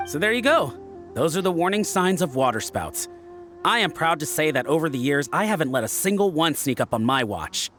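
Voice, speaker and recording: Game casting: proud, friendly ship's lookout, higher male voice, eager explainer, music bed. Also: big pitch swings, fairly quick, fairly bright tone. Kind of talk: scripted video game dialogue. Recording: music behind the voice.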